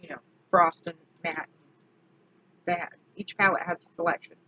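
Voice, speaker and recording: A woman, slightly hoarse from allergies, chatting casually through a makeup product review and correcting herself as she goes. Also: lowish voice, fairly even intonation, sharp tone, a little hiss or hum, poor audio. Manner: chatty, self-correcting, slow and deliberate. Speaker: beauty vlogger.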